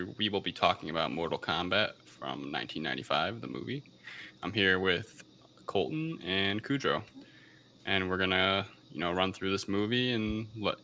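A man with a low voice that has a very bright, forceful edge. He talks like a casual podcast host reviewing films, feeling his way through with some hesitation. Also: slight background noise. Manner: hesitant, unsure of pronunciations.

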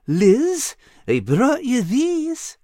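Storybook fat tomcat: goofy, whiny, high voice, big swoops. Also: male voice, huge pitch swings, drawn-out words, dark tone, no room sound, clean studio sound, full character voice. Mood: earnest. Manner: whiny, childlike, dim but good-hearted. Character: goofy whining gluttonous male orange Irish cat.

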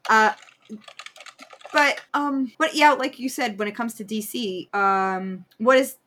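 A woman co-hosting a podcast, talking casually and opinionatedly about things in an animated, conversational way. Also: a little high, slow pace, slight background noise. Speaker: podcast co-host. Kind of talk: casual banter and film discussion.

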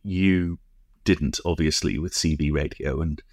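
A middle-aged or older British man co-hosting a casual podcast, explaining things in a halting, discursive way with frequent asides. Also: rumbling low, darkish tone, no echo, studio quality. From United Kingdom. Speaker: podcast co-host.

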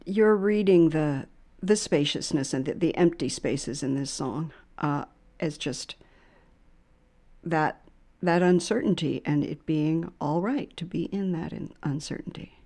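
Thoughtful, halting woman with a lowish voice. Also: warm tone. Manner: thoughtful and halting, repeating words and phrases. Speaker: podcast host. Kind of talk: reflective conversation about music.

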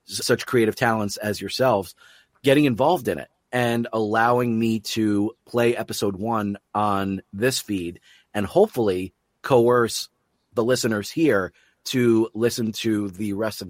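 A man with a fairly low voice hosts an interview podcast. He speaks with open enthusiasm and effusive praise, and the sound is close and dry, with hardly any room around it. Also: measured pace, no echo. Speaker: podcast host. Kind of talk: interview show hosting.

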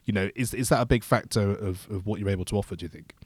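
Fast, halting male podcast host. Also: fairly low pitch, darkish tone, breathy edge, dry recording. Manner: halting, repeats words while forming questions. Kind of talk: casual podcast interview.

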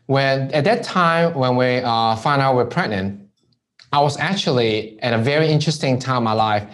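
A man's voice: medium pitch, moderate pace. A man who grew up in Hong Kong, a guest in an interview, telling his story casually and often checking in with "right?". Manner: relaxed storytelling with frequent "right?" tags. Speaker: entrepreneur guest. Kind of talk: conversational interview.

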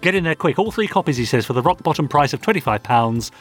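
A man's voice: a little high, expressive, quick pace, faint music behind. A British man co-hosting a podcast, chatting casually and reading out listener messages in a teasing, chatty manner. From United Kingdom. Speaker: podcast co-host.

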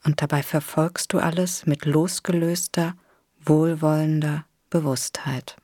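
A woman leading a guided imagery relaxation exercise in a soothing, gentle, unhurried way with long pauses. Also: sits low, fairly even intonation, no echo, studio quality. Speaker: guided meditation instructor.

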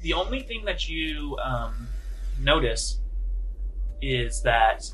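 Male game master, medium pitch, conversational improvised narration, faint background noise. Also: sing-song, slow pace, fairly bright tone, rough recording. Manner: conversational, second-person storytelling.

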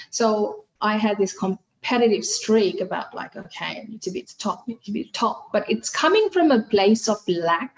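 A woman's voice: medium pitch, rough recording. A middle-aged woman from Fiji, whose first language is Hindi, chatting animatedly as a podcast guest with many fillers and restarts. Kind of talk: casual interview chat.

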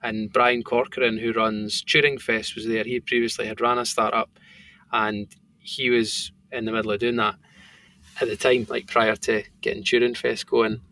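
A man with a thick Scottish accent talks in loose, rambling podcast chat, full of "like" and restarts. His voice is gravelly and bright, with an edge of tension. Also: medium pitch, little pitch movement, normal speed, poor audio. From Scotland. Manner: rambling, filler-heavy storytelling. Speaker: company founder and guest.

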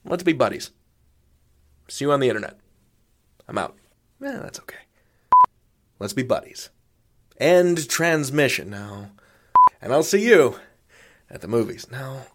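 A man's voice: mid-range voice, big pitch swings, a little slow, pauses often, a little hiss or hum. A man hosting a podcast in a chatty, informal, jokey style with quirky, self-deprecating asides. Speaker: podcast host. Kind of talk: chatty scripted podcast outro. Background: faint music.

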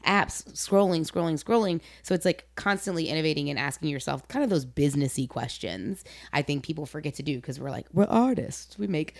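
A woman's voice: deep voice, animated, fairly quick, gravelly, hardly any pauses, a little mellow. A woman hosting a casual interview, talking informally and giving her opinions with emphasis. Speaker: interview host.

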